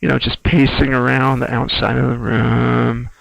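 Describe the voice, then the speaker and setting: A man giving casual, rambling playthrough commentary in an informal, halting, musing way. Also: mid-range voice, level tone, creaky, no room sound, poor audio. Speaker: screenwriter doing game playthrough commentary.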